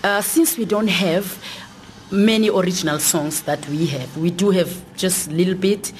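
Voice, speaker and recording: Halting woman singer. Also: on the low side. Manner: halting, with fillers. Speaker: a cappella singer. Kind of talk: casual interview talk.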